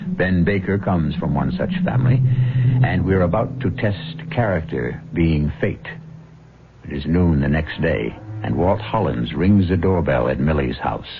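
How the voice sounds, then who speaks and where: A man hosting a radio drama, narrating a script in a measured, philosophical, theatrical way. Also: very deep voice, animated, background noise. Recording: dull old radio recording.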